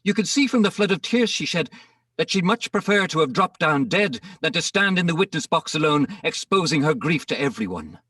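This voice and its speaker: Casting: male storyteller for rhythmic dramatic poetry, highish raspy voice, dry but distant recording. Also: big pitch swings, fairly quick, sharp tone, no room sound. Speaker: narrator. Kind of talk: dramatic recital of comic narrative verse.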